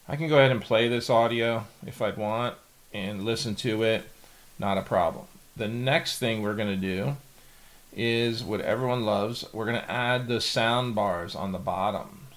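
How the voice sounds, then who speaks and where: A middle-aged man, explaining software step by step in a casual, plain tutorial style. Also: fairly low pitch. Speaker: tutorial host. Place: indoor home office.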